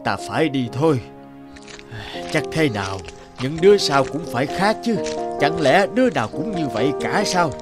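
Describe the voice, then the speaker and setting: An actor playing a gentle, sorrowful elderly father in a cartoon, with a halting, plaintive delivery. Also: on the high side, animated, over loud music, lightly acted. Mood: sad, resigned. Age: older. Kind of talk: cartoon voice acting.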